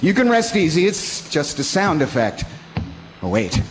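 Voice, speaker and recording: A man's voice: on the high side, sing-song, measured pace, over loud music. A man announcing a radio drama in a theatrical, formal showman's style like old-time radio.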